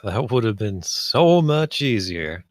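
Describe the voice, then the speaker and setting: An actor at a script table read playing a gruff, pedantic and resourceful space derelict assessor. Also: male voice, on the low side, big pitch swings, slow pace, dry recording, studio quality. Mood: dry, muttering.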